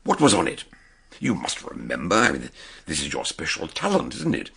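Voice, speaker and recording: An actor playing an eccentric older detective in a British radio drama, husky and gravelly, narrating and probing with questions. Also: male voice, deep voice, huge pitch swings, moderate pace, fairly bright tone, exaggerated voice.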